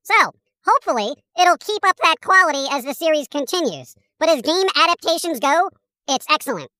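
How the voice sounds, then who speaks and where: A cynical cartoon squirrel with an extremely high, piercing voice, recorded very dry. The squirrel cracks wise through comic news with sardonic disdain for humans. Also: sing-song, a little fast, bright, forceful tone, dry recording, exaggerated voice. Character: wisecracking cynical cartoon squirrel and gang of sidekicks. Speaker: podcast host character. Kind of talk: scripted comic news chat.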